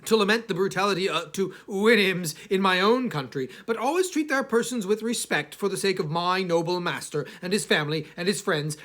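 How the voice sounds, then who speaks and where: A haughty, misanthropic older gentleman traveller, voiced by a male reader, recounts his memoir in formal literary narration. His pitch rises and falls widely, and he halts theatrically, leaning on chosen words with emphatic pauses. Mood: lofty, formal. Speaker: audiobook reader. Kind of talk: dramatic first-person literary narration.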